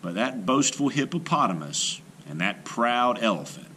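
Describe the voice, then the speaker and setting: A man reading a children's folktale aloud in a theatrical, measured way, lingering on phrases. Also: mid-range voice, sing-song, pushed and bright, a little hiss or hum. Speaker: storybook reader.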